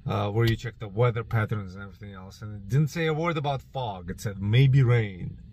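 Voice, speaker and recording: A man's voice: on the low side, brisk, a little mellow, highs cut off, slight background noise. A man with a Ukrainian accent, losing his voice, chatting casually in a vlog from outdoors in a parking lot, thinking aloud with lots of fillers. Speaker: drone hobbyist vlogger.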